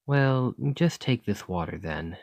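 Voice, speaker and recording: A man playing an earnest, chatty scholar in an ASMR roleplay, speaking gently and hesitantly as he rambles and interrupts himself. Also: lowish voice, lively intonation, a little slow, dry recording. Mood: gently concerned. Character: earnest, rambling male scholar gently reassuring a captive creature.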